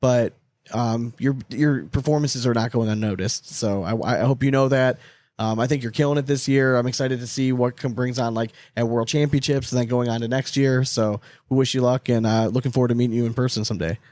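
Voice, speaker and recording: A man's voice: mid-range voice, steady tone, brisk, a little mellow, no echo. A man co-hosting a casual sports podcast, chatting in an informal, jokey, slangy way. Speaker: podcast co-host.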